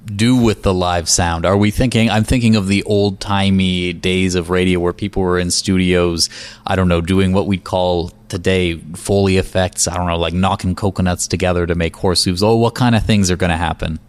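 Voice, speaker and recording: A man's voice: sits low, fairly even intonation, normal speed, clear, resonant tone. A man hosting and interviewing for a radio programme. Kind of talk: interview with scripted introduction.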